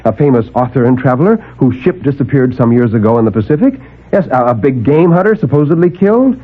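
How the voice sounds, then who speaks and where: A man's voice: mid-range voice, slightly rough. Desperate, pleading man on old radio. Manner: urgent, emphatic, halting delivery. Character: desperate male escaped captive pleading to be believed. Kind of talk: 1950s radio drama acting. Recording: narrowband old AM radio recording.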